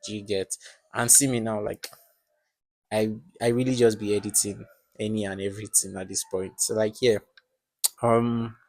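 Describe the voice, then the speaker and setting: Young man, low voice, reflective casual rambling. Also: flat delivery, moderate pace, pauses often, a little mellow, no echo. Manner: rambling, filler-heavy, reflective. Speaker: podcast host. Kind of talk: casual chat.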